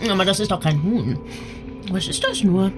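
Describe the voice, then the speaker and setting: An actress playing an outwardly innocent, suspicious wife in a German radio drama, measured and questioning. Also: female voice, mid-range voice, expressive, music in the background, natural voice. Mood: probing.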